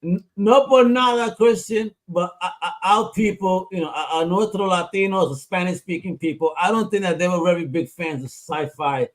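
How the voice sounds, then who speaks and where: A man hosting a podcast in a hype, energetic, slangy way. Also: sits high, normal speed, hardly any pauses, rough recording. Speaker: podcast host. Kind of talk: casual chat.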